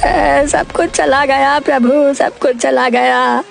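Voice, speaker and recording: Woman as high-pitched, pleading poor village farmer. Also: fairly even intonation, slow, gravelly, over music, exaggerated voice. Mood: anguished. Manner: plaintive, exclamatory, imploring. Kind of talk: dramatised cartoon moral story.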